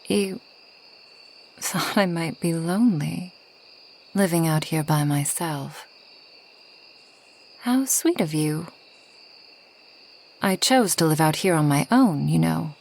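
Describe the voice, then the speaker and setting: Slow, husky forest witch, played softly by a woman. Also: deep voice, exaggerated voice. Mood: soft, wistful. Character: reclusive, centuries-old kind but proud forest witch. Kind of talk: soft ASMR roleplay.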